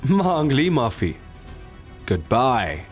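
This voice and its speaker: A man playing a cocky, calm and menacing fighter in an audio drama, with a taunting, smug manner. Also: medium pitch, extremely slow, faint music behind. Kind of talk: Hindi audio drama acting.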